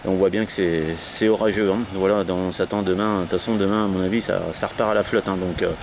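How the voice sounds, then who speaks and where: A man's voice: low-pitched, level tone, fast. A man with a French accent chatting casually as an amateur radio operator, talking in a rambling, hesitant, repetitive way outdoors. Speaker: amateur CB radio operator leading a round of contacts. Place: outdoors on a low wall at the end of a sea dike. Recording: faint ambient noise, outdoor portable radio setup.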